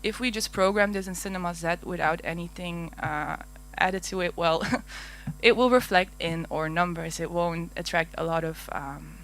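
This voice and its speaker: A woman's voice: fairly low pitch, normal speed, fairly bright tone. Flemish woman at a conference mic, nervous and hesitant, fillers and small laughs. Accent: Flemish Dutch. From Belgium. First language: Dutch. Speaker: conference speaker. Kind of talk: conference presentation. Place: large darkened auditorium.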